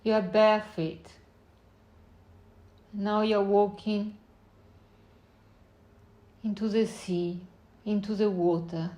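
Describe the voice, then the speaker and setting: Slow-paced woman guiding a meditation. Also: medium pitch, fairly even intonation. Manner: unhurried short phrases with long pauses, gentle instructing. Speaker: meditation guide. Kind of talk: guided meditation, slow spoken visualization.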